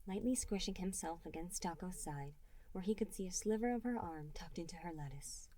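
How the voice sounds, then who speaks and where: Female story reader, lowish pitch, dark relaxed tone, expressive audiobook narration. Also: fairly quick. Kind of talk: narrating fan fiction audiobook.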